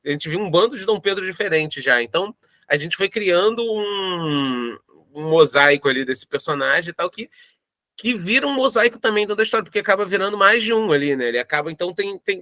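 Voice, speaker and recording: Bright-voiced Brazilian man, fairly high-pitched. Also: expressive, fairly quick, no room sound. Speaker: audio drama creator interviewed in a making-of. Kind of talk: casual reflective discussion.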